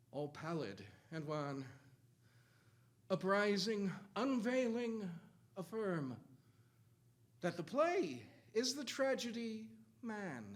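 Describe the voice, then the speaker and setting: An older man gives a grave, theatrical poetry recitation, declaiming with hushed drops and booming cries. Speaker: stage performer reciting poetry. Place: theatre stage at a lectern.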